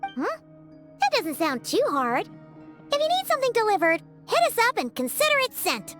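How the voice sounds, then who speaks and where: A very high, squeaky fairy girl, cheeky and chatty. Her delivery is exaggerated and swoops around in video game dub style, with music behind her. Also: moderate pace, exaggerated voice. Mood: confident. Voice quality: squeaky, childlike. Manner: exaggerated, expressive, third-person self-reference. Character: tiny floating fairy companion girl, childlike, cheeky, chatty. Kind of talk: video game character dubbing.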